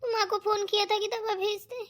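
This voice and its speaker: A woman voicing a put-upon young daughter-in-law in a family cartoon, plaintive, meek and quietly resentful. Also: extremely high pitch, level tone, fairly quick. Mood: pained, self-pitying. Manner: plaintive, complaining, dutiful. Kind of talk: Hindi family cartoon melodrama.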